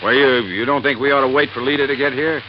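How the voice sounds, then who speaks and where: A man's voice: medium pitch, steady tone, quick pace, lightly acted. Evasive, nervy suspect played by a man. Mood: impatient. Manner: hesitant, guarded, stammering. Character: evasive, impatient heir, nervy man under suspicion. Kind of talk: 1950s radio drama acting. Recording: muffled, noisy old radio recording.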